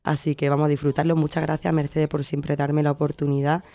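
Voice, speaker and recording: A woman's voice: low-pitched, level tone, fairly quick, mellow, no echo. A woman from Cádiz with an Andalusian accent, chatting casually as an interview guest, effusive and often searching for her words. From Cádiz, southern Spain. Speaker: radio guest, short-film maker.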